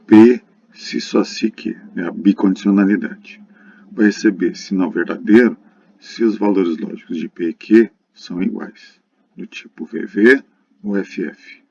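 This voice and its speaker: Low, husky Brazilian male lecturer. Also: a little slow, dark tone. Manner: didactic, addresses students directly. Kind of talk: recorded math lesson, explanatory. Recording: dull lo-fi muffled recording.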